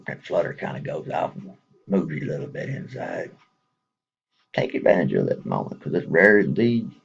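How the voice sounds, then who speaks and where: A man's voice: extremely low pitch, creaky, husky, highs cut off. A man with a Texas accent speaking casually to viewers in a folksy, rambling way, chuckling between thoughts.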